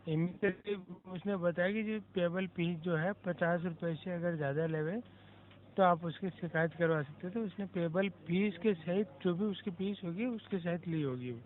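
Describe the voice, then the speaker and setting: A man's voice: high voice, moderate pace. A man working as a helpline agent, formal in address, procedural and slightly curt. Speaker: government helpline call agent. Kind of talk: formal customer service phone talk. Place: telephone call. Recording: narrow-band phone call recording.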